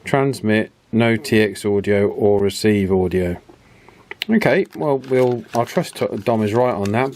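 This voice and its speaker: Low voiced guy doing a casual repair video, just thinking out loud as he goes step by step. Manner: unhurried, thinking aloud. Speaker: host of a radio repair channel. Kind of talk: casual hands-on repair demonstration, talking through each step.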